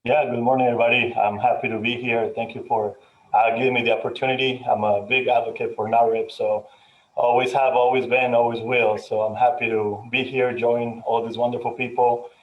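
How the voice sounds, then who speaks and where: A man's voice: mid-range voice, flat delivery, brisk, slight background noise. A man from Colombia introducing himself as a mortgage professional on a panel, friendly and dotted with uh fillers. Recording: dull lo-fi sound.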